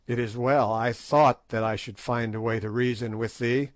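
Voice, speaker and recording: A man's voice: mid-range voice, steady tone, normal speed, no echo, lightly acted. A man voicing a stern king who speaks in solemn, commanding tones. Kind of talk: audiobook character voice.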